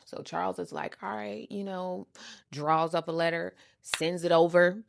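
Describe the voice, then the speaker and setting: A woman with an American accent telling a story casually, with a sassy, animated, emphatic and slangy delivery. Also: on the low side, unhurried, gravelly, no room sound. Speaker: storytime show host. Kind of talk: casual storytelling, acting out quoted conversations.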